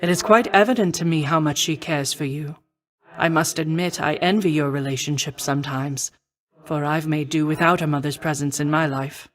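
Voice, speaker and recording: A woman playing a noble, protective war goddess in a video game, speaking in formal, measured, old-fashioned phrasing. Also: deep voice, close to an everyday voice. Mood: warm, reassuring. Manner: formal, measured, archaic stately diction. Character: noble female war goddess, poised, protective, confident mentor. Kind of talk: scripted video game voice acting.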